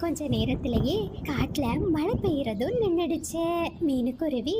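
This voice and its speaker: A woman narrates for young children as a storyteller. Her voice is strikingly high, and the delivery is scripted. There is faint background noise. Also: animated, normal speed.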